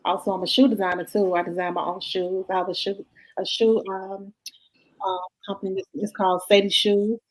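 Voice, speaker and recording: A woman's voice: mid-range voice, flat delivery, a little fast, low-quality recording. A woman introducing herself casually on a panel. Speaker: radio host and guest.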